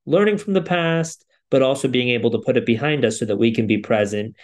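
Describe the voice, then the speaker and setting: A man's voice: mid-range voice, a little fast, darkish tone. Man co-hosting, thoughtful recap. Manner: thoughtful, loosely strung sentences. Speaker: podcast co-host. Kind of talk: reflective solo recap.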